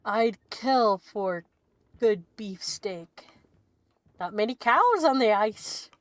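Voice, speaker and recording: A proud ship's cook, speaking low and quiet, as a woman reads his lines. The delivery is unhurried, and the sound is dry and close to the mic. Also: expressive, no room sound. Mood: wistful.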